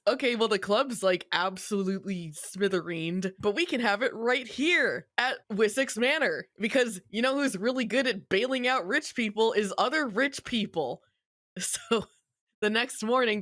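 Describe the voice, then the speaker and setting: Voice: medium pitch, fairly bright tone, no room sound, studio quality. A podcast host recapping a story in casual chat, with a wry manner and easy swearing.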